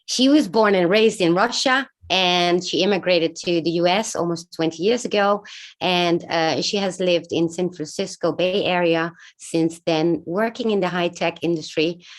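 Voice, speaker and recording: Lower-voiced woman hosting a live stream. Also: normal speed. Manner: chatty, many fillers, greets viewers. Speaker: host of a live online show.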